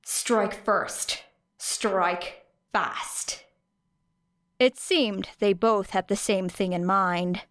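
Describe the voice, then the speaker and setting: A woman reading a story as an audiobook narrator, with expressive, dramatic phrasing and deliberate pauses. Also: medium pitch, clean tone, dry recording, studio quality. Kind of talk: expressive scripted fiction narration.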